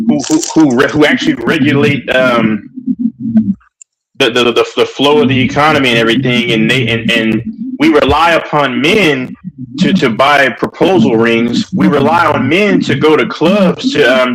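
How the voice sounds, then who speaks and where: A man on a livestream debate, talking in an animated, emphatic way with many restarts. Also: medium pitch, normal speed, crowd noise, poor audio. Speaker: streamer.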